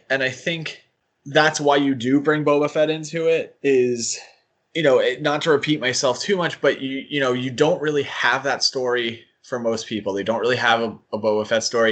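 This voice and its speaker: A man's voice: medium pitch, fairly bright tone. A man co-hosting a podcast, talking casually and thinking aloud as he speculates, with hedges and asides. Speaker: podcast co-host.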